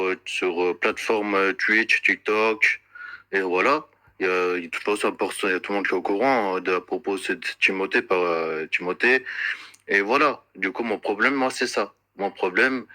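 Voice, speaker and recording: A man making an unscripted, accusatory complaint in an insistent, repetitive and halting way, searching for words. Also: fairly low pitch, sharp tone.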